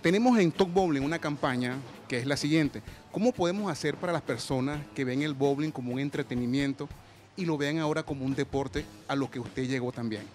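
A man's voice: mid-range voice, a little fast, a little mellow. A man, putting formal, respectful questions as a sports show host. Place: indoor bowling alley. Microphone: handheld microphone. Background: music.

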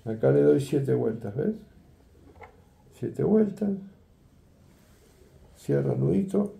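A man's voice: mid-range voice, big pitch swings, takes it slowly, vocal fry, dark tone, roomy sound. An older man, teaching a fishing rig setup in a chatty tutorial and often asking his viewers "¿eh?" and "¿ves?". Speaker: fishing instructor. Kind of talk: hands-on tutorial demonstration. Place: indoor workroom at a table.

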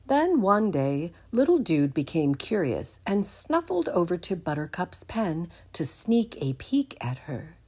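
Lady reading a kids picture book, very expressive, takes her time, kinda low voice. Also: measured pace, few pauses, breathy edge. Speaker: read-aloud storyteller. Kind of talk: expressive children's storybook narration.

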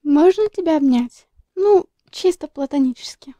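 A woman reads questions to a comic-dub character. Her voice is high yet extremely mellow, recorded dry with no room around it. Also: expressive, normal speed, no echo. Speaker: question reader.

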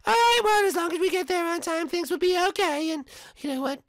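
A woman's voice: very high voice, a little fast, no room sound, studio quality. A woman voicing a bossy, confident young girl who keeps encouraging her brother, in a homemade cartoon play.

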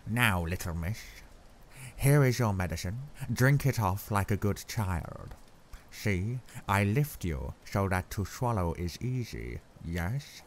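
A man voicing an older, grave and kindly foreign professor who speaks in halting, odd word order. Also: low voice, very animated, slight background noise, exaggerated voice.

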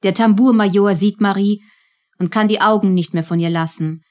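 Female narrator for a radio play, medium pitch, scripted storytelling delivery. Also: steady tone, moderate pace, a little mellow.

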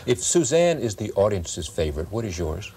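A man's voice: fairly low pitch, big pitch swings, moderate pace, a little mellow, a little hiss or hum. A middle-aged man hosting a broadcast interview, probing and rephrasing his questions at length, on a lapel mic in a studio. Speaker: talk show host. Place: television studio set.

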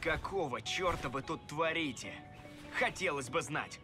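A man's voice: on the high side, lively intonation, measured pace, over music. An actor voicing a put-upon cartoon courier creature, a man with a dry, sarcastic, theatrical manner. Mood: indignant. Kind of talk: animated film acting.

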